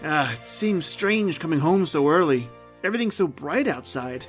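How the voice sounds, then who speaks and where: A man's voice: on the high side, expressive, normal speed, faint music behind, natural voice. A man playing a tormented, lost husband recounting his doom in a brooding, dramatic old-time radio horror style. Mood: cheerful, casual.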